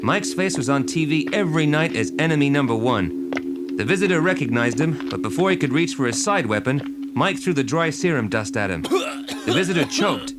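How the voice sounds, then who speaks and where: Male narrator for dramatic read-along story; expressive range, clear music bed. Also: fairly high pitch, a little fast.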